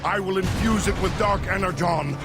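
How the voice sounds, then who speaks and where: An actor playing a tyrannical, menacing robot warlord in a video game, speaking with gravelly, commanding grandeur. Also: male voice, high-pitched, normal speed, over loud music, natural voice. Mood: stern, commanding. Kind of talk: video game cutscene acting.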